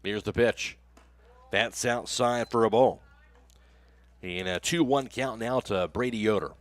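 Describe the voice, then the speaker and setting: A man's voice: fairly low pitch, unhurried, no room sound. An American man calling a baseball game live as a radio play-by-play announcer, in clipped phrases that follow the action.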